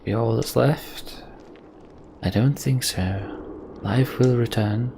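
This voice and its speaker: A man hosting a let's play, commenting and reading game text aloud in an unhurried, reflective way. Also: mid-range voice, creaky. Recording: slight background noise.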